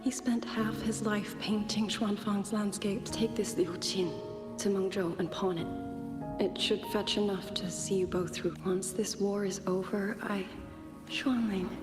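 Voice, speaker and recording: A resolute, grieving warrior widow in a fantasy game, played by a woman. She speaks formally and with restraint at a middling pitch, in long reverb over clear music. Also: fairly even intonation, measured pace, natural voice. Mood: sorrowful resolve. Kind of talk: scripted game dialogue.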